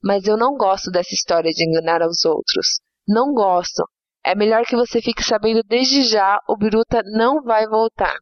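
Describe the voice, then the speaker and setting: A woman's voice: mid-range voice, moderate pace, dry recording, natural voice. Woman acting blunt housemaid, dull lo-fi sound. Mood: blunt, indignant. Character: blunt, uneasy housemaid telling a boy hard truths. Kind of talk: dramatic reading of a short story. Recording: dull lo-fi audio with music underneath.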